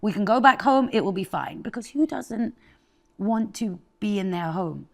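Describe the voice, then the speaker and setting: A woman in her thirties from Afghanistan, a guest chef telling her life story in a candid, expressive way. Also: medium pitch, normal speed, gravelly. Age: 30s. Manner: candid and expressive, laughs often.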